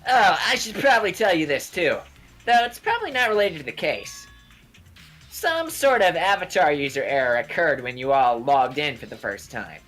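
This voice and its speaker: A woman's voice: low voice, big pitch swings, normal speed, a bit bright, soft background music, exaggerated voice. A woman voicing a childlike robot bear cub, earnestly explaining things in a game fan dub.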